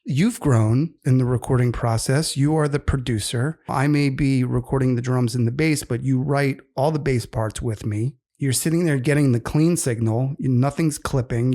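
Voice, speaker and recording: A man's voice: mid-range voice, warm tone. A man in his fifties co-hosting a casual podcast, rambling enthusiastically about music. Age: 50s. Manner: rambling, enthusiastic music talk with many fillers. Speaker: co-host.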